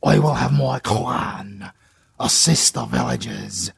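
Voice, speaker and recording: A British man voicing a grave green-skinned clan leader, speaking in solemn, raspy half-whispers. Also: medium pitch, slow, dry recording, full character voice. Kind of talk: fantasy audio drama.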